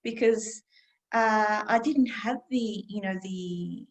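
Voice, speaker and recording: A woman in her fifties, a podcast guest, speaking calmly and unhurriedly with many pauses. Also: medium pitch. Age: 50s. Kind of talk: reflective conversational interview.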